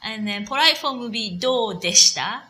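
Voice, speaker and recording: A woman's voice: fairly high pitch, animated, moderate pace, sharp tone. A woman co-hosting a language lesson, patiently explaining words and repeating them to teach.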